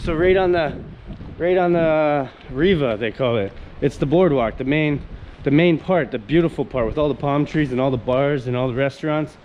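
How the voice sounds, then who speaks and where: A young man from Canada rambles enthusiastically on camera as he walks along outdoors, full of fillers and restarts. His voice sits a little high for a man. Faint wind and passing traffic hiss under the handheld camera recording. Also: normal speed, few pauses, a little mellow, muffled recording. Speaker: vlogger. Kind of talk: casual walk-and-talk vlog. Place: outdoors on a coastal town street.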